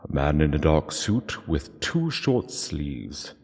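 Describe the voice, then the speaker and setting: A man giving solemn scripted literary narration in a hushed, measured way, with dramatic pauses. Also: very deep voice, very animated, slightly rough. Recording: long hall reverb, music underneath.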